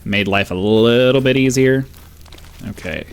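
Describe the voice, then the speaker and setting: A man's voice: mid-range voice, measured pace, clear, resonant tone. A man hosting a gaming video with casual, easygoing hunting game commentary, thinking aloud in short bursts close to the microphone.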